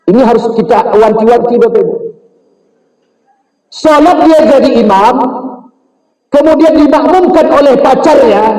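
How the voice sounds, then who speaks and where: A man's voice: extremely high pitch, a little mellow. A man preaching an Islamic sermon, emphatic and often putting questions directly to his listeners. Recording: roomy echo, distant from mic.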